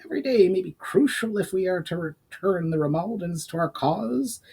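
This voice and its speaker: A man's voice: high-pitched, expressive, moderate pace, creaky, a little mellow, breathy edge, pitched above the performer's own voice, heavily acted. A man voicing an inquisitive, earnest goblin companion who speaks formally in a storybook reading. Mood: urgent. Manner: formal, questioning speech.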